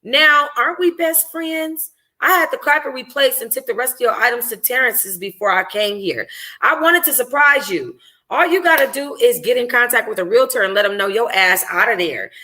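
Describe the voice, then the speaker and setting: A woman voicing an outspoken young best friend in a novel, animated, slangy and blunt. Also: fairly high pitch, moderate pace. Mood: concerned, blunt.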